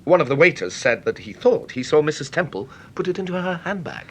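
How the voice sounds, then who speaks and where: An actor playing a polite, hesitant, apologetic widower in a British radio mystery, with a British accent, on an old radio recording. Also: male voice, very animated, a little fast, a bit bright. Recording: noisy old radio recording, low rumble.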